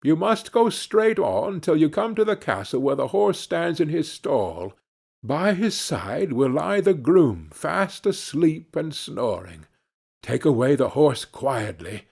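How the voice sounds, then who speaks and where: A man's voice: mid-range voice, gravelly, a little mellow, slightly rough, exaggerated voice. A man voicing a wise old male fox in a storybook, scolding and patiently instructing in formal, old-fashioned phrasing.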